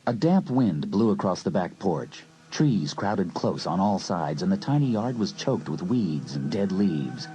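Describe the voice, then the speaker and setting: Deep, mellow male suspense narrator. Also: sing-song, unhurried, few pauses, soft background music, rough recording. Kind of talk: scripted suspense audiobook narration.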